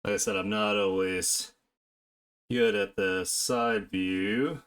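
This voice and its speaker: A man chatting casually on an art live stream, talking haltingly and half to himself as he works. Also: low voice, fairly even intonation, fairly bright tone, clear, resonant tone. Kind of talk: casual live-stream chat while drawing.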